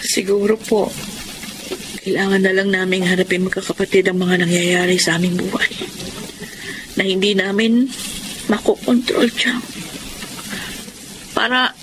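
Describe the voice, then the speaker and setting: A woman's voice: medium pitch, steady tone, measured pace, pauses often, noisy background, lightly acted. A woman playing an earnest, respectful, emotional grown daughter in a Filipino radio drama. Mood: resigned. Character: grieving, dutiful, hopeful grown daughter and eldest sibling. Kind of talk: Filipino radio drama acting and first-person narration.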